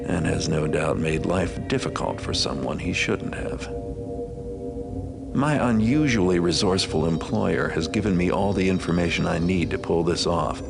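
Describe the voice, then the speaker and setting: A rock-bottom, gravelly voice for a cynical master thief in a stealth game. He is dry and understated, a weary loner who narrates with sardonic wit. Music runs behind him. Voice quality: very low, gravelly.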